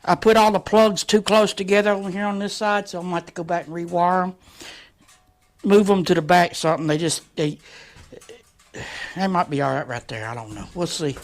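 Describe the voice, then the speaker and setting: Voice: mid-range voice, steady tone, brisk. Rambling, folksy DIY vlogger at work. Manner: jokey, rambling, folksy colloquial grammar. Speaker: vlogger working on a motorcycle. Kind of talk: casual rambling DIY update vlog. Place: shed workshop.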